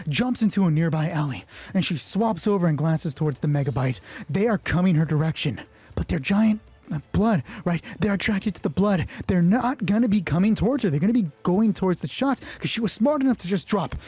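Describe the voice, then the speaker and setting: Male solo game master, high but mellow voice, thinking aloud through dice and story, excited and sweary. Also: fairly quick, slightly rough, no room sound. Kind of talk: improvised tabletop game narration with dice talk.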